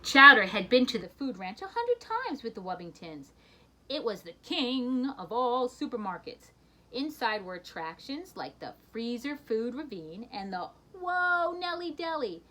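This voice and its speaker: A woman reading a children's picture book aloud in an expressive storytelling manner, with brief asides to her listeners. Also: a little high, a little slow, poor audio.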